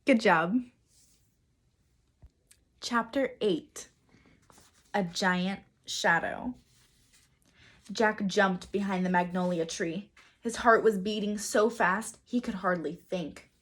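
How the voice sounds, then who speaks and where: A woman reading a children's story aloud as a teacher, with expressive, dramatic emphasis. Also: medium pitch. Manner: dramatic emphasis, pauses to quiz listeners.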